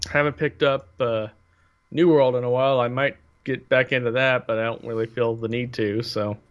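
A man's voice: mid-range voice, level tone, normal speed. A man co-hosting a casual podcast chat, talking in an emphatic, exasperated way. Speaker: podcast co-host.

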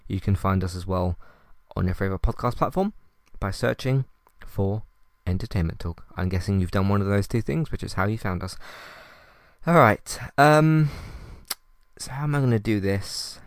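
A man hosting a solo podcast, talking in a calm, conversational way with frequent fillers and restarts. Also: fairly low pitch, normal speed, dry recording, studio quality. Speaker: podcast host.

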